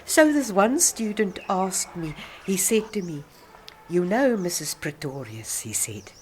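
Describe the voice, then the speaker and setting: An actress playing an older South African woman, a proud alcoholic who rambles haltingly and defensively through a radio-play monologue of reminiscence. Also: lowish voice, big pitch swings, a little hiss or hum. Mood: amused recounting.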